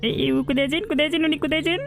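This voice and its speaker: Man voicing a fast-talking, scheming village schemer. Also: very high voice, faint music behind. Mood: agitated, excited. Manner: excitable, repeats words rapidly. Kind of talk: cartoon folktale dialogue.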